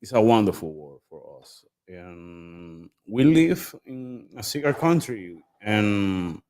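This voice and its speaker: Slow, deep-voiced Dominican man. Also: expressive, darkish tone. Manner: hesitant, halting. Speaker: cigar shop manager. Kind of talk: casual self-introduction.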